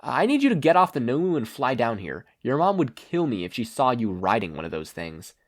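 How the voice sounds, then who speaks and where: Man reading as a brisk woman hero mentor. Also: mid-range voice, sing-song. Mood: brisk, dry.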